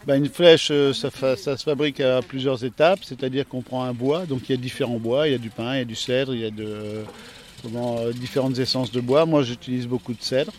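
French man, middle pitch, arrow maker being interviewed, halting and casual. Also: steady tone, a little hiss or hum. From France. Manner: hesitant, many fillers, plain-spoken. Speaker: archery club captain and arrow maker.

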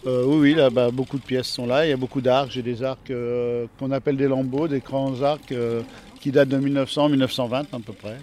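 A man's voice: medium pitch, level tone, brisk, darkish tone, a little hiss or hum. Hesitant Frenchman talking about arrows. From France. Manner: hesitant, many fillers, plain-spoken. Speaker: archery club captain and arrow maker. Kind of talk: casual interview answers.

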